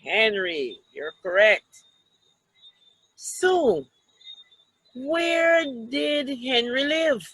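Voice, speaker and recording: A woman reads a picture book aloud to children in a deliberate way, pausing to point things out and ask questions. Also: high-pitched, very animated, a bit bright, no echo, low-quality recording. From Jamaica. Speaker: storytime reader, teacher.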